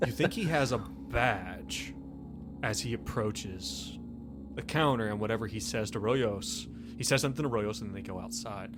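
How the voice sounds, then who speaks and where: A man's voice: on the low side, moderate pace. A man speaking as game master in a tabletop roleplaying game, explaining things in an informal, conversational way. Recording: music playing under the voice. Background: background music.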